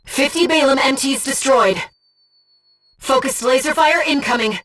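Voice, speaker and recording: A woman voicing a gentle, disembodied female being who guides a pilot in a video game, speaking in a composed, earnest and precise way. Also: high voice, unhurried, distant mic, faint music behind. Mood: urgent, alert. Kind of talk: video game mission support voice acting.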